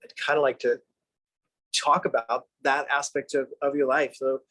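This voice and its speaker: A man's voice: medium pitch, level tone, normal speed, pushed and bright. A man hosting a podcast interview, talking eagerly in a casual way with frequent stammering restarts. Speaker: podcast host.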